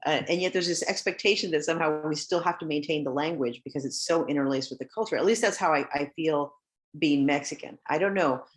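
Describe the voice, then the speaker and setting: Woman judge with a very low voice, chatty and animated, telling family anecdotes in a webinar conversation. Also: fast. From United States. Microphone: video call.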